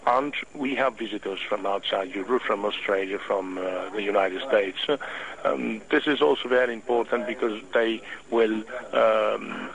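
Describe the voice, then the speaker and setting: A man with an Italian accent, a native Italian speaker, answering radio interview questions slowly as he searches for words. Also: lowish voice, gravelly, a bit bright, poor audio. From Italy. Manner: halting, searching for words in a second language. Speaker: hobby club official, interview guest.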